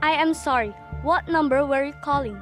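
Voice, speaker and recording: A woman's voice: sits high, normal speed, a bit bright, faint music behind. A young woman playing a polite, unflappable telephone operator in a radio drama, formal and courteous. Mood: patient. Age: young adult. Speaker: student actor in a class radio drama.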